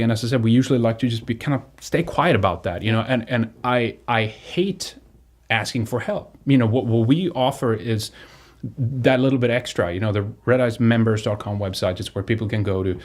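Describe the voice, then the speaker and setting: A man from Sweden with a fairly low voice speaks as an online host addressing his viewers. He is a native Swedish speaker talking off the cuff, and he often doubles back and repeats words as he goes. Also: darkish tone. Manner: halting, repeats and restarts words. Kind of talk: unscripted appeal to viewers.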